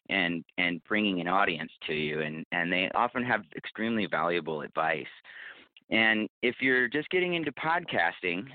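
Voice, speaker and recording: A man speaking as an interview guest, giving casual advice in an earnest, reflective, explanatory way. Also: low-pitched. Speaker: interview guest, writer and podcaster. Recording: roomy, phone-like narrow band.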